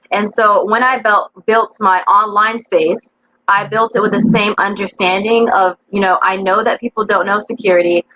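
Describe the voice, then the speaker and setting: A woman's voice: medium pitch, fairly bright tone. A woman from the East Coast of the United States, a cybersecurity educator, telling her story earnestly as an interview guest. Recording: slightly dull sound with faint noise.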